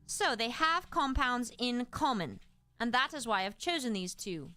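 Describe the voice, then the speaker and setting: A woman with a very high, lively voice and a British accent hosts a livestreamed lesson. Her delivery swings widely in pitch as she explains things politely. Faint music plays behind her. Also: unhurried. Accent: British, put on. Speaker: live streamer and host giving a lesson. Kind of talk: livestreamed educational lesson with chat replies.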